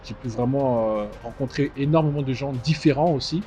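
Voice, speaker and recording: A man in his twenties who grew up in Strasbourg, giving conversational interview answers with frequent mid-phrase pauses. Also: mid-range voice, warm tone, a little hiss or hum. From born in DR Congo, grew up in Strasbourg, France. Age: 20s. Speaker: interview guest, fashion house founder. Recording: dull muffled sound with music behind. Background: music.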